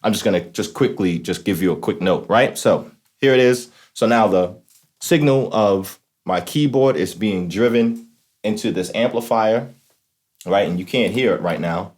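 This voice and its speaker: A man's voice: on the low side, normal speed, darkish tone. A man hosting an instructional demonstration, explaining things informally and often checking in with the listener. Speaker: host of a talk box tutorial series.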